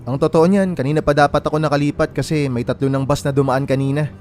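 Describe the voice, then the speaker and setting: A man acting a friendly young Filipino bachelor, higher-pitched. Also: steady tone, fast, darkish tone, faint music behind, natural voice. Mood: friendly, eager. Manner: casual, conversational. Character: friendly, candid young bachelor chatting on a bus. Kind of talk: radio drama dialogue.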